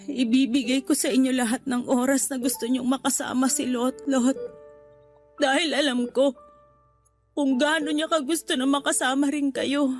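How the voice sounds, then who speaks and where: A woman's voice: sits high, steady tone, normal speed, soft background music. A woman acting a tearful, guilt-ridden mother in a radio drama, her voice breaking with crying. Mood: resigned.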